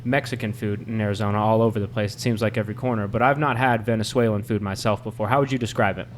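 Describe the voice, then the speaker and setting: A man hosting a podcast, asking relaxed, chatty questions in a restaurant. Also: on the low side, fast, slight background noise. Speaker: podcast host. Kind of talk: casual interview.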